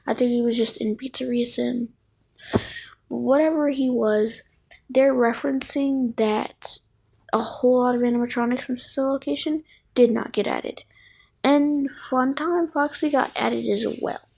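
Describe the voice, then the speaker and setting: A woman's voice: fairly high pitch, steady tone, measured pace, gravelly, darkish tone, husky. A woman hosting casual fan commentary on game characters, thinking aloud and often correcting herself. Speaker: video creator, host.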